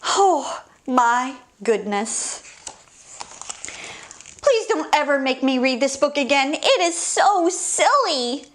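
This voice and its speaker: A woman's voice: high voice, sing-song, slow, fairly bright tone, a little hiss or hum. A woman hosting children's story time, reading a picture book aloud with playful, exaggerated, theatrical reactions. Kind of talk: animated picture book read-aloud for kids.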